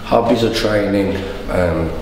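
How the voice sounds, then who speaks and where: A man's voice: on the low side, a little slow. A man in his thirties, a hostel resident speaking candidly and reflectively in a documentary interview. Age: 30s. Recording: echoey hall, distant mic, noise.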